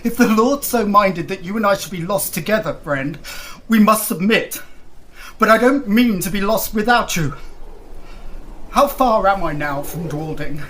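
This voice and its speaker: A man's voice: high voice, animated, a little slow, sharp tone, full character voice. An actor playing a distressed, insistent young huntsman in a period Gothic audio drama, speaking in formal old-fashioned diction. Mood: urgent, determined. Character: distressed lost huntsman recounting his ordeal, insistent young husband.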